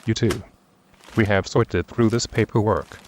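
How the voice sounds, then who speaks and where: Man acting a low-voiced boss, noisy recording. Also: steady tone, measured pace, close to an everyday voice. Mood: businesslike. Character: Michael, businesslike man in charge, running a meeting. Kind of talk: audio drama acting.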